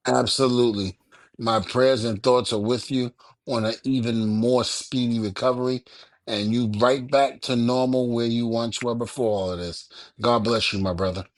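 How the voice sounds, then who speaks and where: A man with a mid-range voice tells stories in an easy, animated way, laughing often. The sound is close and dry, with no room echo. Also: mid-range voice, normal speed. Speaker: podcast co-host. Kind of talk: casual chat.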